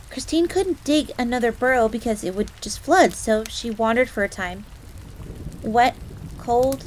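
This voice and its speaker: A woman's voice: fairly high pitch, natural voice, rough recording. A woman playing a proud, easily flustered fox-girl maid in a roleplay audio drama, speaking formally in the third person with stammers. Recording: steady rain noise throughout. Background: rain ambience.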